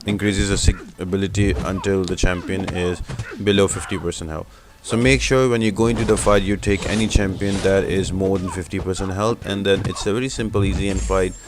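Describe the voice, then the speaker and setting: A man's voice: low voice, steady tone, fairly quick, hardly any pauses, background noise. A man giving game walkthrough commentary in a plainly explanatory, instructional way, as the host of a guide channel.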